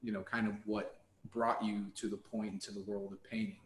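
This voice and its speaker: A man's voice: on the low side, level tone, brisk, slight background noise. A man co-hosting an interview, praising his guest effusively and leaning on fillers. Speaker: podcast co-host. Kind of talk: casual interview. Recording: echoey room.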